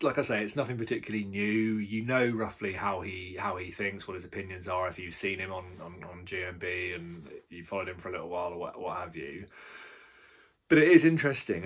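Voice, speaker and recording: A British man with a fairly deep voice and a rough, husky edge. He talks casually and bluntly, rambling as he reviews a book and leaning emphatically on his opinions.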